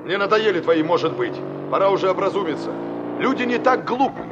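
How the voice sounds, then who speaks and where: An irritated, scolding man in an old Soviet radio play. His fairly high, gravelly voice swings up and down as he lectures, over loud car noise. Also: very animated, fairly quick, highs cut off. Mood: stern, lecturing. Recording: noisy radio drama with passing car sounds.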